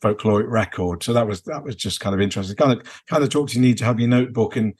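A British man co-hosting a podcast in casual, unscripted chat, with a halting, rambling way of building his sentences. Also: fairly low pitch, husky. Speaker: podcast co-host.

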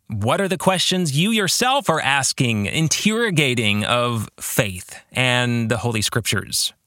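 Expressive, bright-voiced man speaking slowly. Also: medium pitch, no echo, studio quality. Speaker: podcast host and author. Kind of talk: reflective book discussion monologue.

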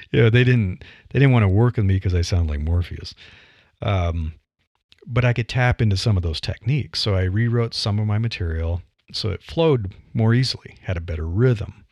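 A man's voice: lowish voice, expressive, moderate pace, a little mellow, dry recording. A middle-aged man with a Midwestern accent, a podcaster talking casually about voice delivery with frequent "you know" fillers. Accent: Midwestern American. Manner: conversational, many "you know" fillers, light laughs. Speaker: podcaster and writer. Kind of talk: reflective monologue on voice delivery.

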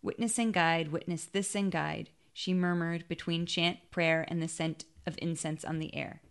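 A woman reading a literary short story in measured, clipped phrases with pauses. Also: lowish voice, steady tone, clear, resonant tone.

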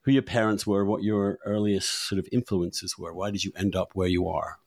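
A middle-aged man hosting a podcast in a chatty, unscripted way, halting and repeating words as he goes. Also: low voice, steady tone, vocal fry, a little mellow, no echo, studio quality. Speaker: podcast host.

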